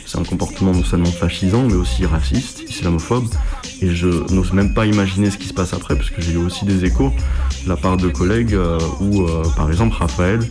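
A man's voice: low-pitched, a little fast. A man giving informal testimony as an activist, hesitant and searching for words. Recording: music playing under the voice. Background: music.

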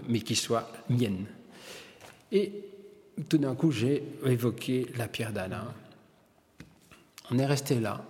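An older Belgian-born man, a hairdresser and publisher, telling his story in an interview, halting and repeating words as he searches. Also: mid-range voice, a little mellow, long reverb tail.

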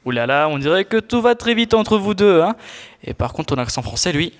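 A man's voice: sits high, big pitch swings, fairly quick. A teenage boy playing a sarcastic, weary companion to a knight in a student audio drama. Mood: teasing.

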